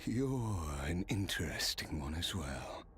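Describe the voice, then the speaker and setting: A man voicing a weary, regretful yet proud immortal warrior in video game dialogue, speaking reflectively and haltingly. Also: deep voice, very animated, a little hiss or hum, heavily acted. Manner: slow, reflective, halting, soft chuckles.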